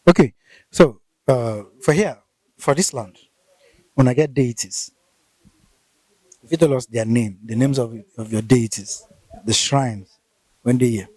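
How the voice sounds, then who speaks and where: Nigerian male host, mellow tone, probing field interviewer. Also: medium pitch, unhurried, pauses often, slightly rough, dry recording. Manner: probing, repeats answers back, mixes Pidgin and Igbo. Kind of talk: field interview, casual questions.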